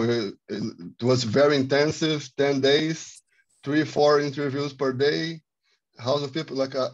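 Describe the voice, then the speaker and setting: A man from Brazil, a cameraman, answering on a film panel in halting, restart-filled speech over a video call. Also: medium pitch, gravelly, a little mellow, lo-fi sound. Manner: halting, many restarts, non-native phrasing. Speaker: filmmaker, cameraman.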